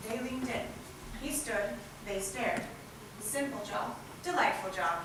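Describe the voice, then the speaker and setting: Echoey, higher-voiced woman telling a story. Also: slow pace. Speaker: narrator in a readers' theatre group. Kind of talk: scripted narration, storytelling. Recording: echoey hall, distant mic, loud noise.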